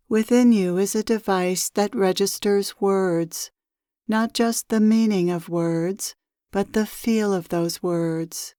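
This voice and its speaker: Slow, soothing woman guiding meditation. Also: mid-range voice, no echo, studio quality. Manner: calm, soothing, measured with long pauses. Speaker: spiritual teacher leading a meditation. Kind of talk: slow guided meditation, channeled address.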